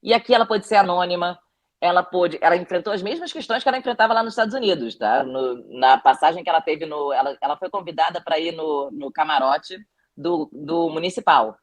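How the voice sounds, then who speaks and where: A woman's voice: fairly low pitch, brisk. A woman with a Brazilian Portuguese accent, a documentary director answering interview questions reflectively, telling anecdotes with frequent restarts.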